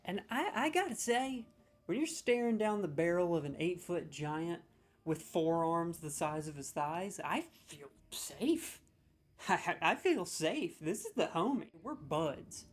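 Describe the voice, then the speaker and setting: A man's voice: a little high, animated, a little slow. A man in a comedic role, scoring lines with sarcastic, mock-serious, playfully exaggerated commentary.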